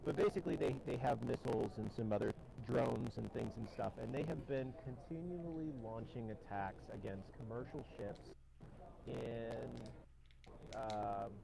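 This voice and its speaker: Podcast co-host guy, super gravelly voice, rambles, background noise behind him. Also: mid-range voice, measured pace. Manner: rambling, restarts, explains at length. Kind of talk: casual podcast chat. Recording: noisy background.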